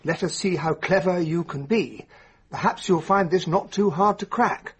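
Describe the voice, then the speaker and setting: An actor playing a genial, candid English gentleman, the loyal friend of a detective, bluff and expressive in a radio drama. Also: high-pitched, moderate pace, breathy edge. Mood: reading aloud. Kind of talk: radio drama acting and first-person narration.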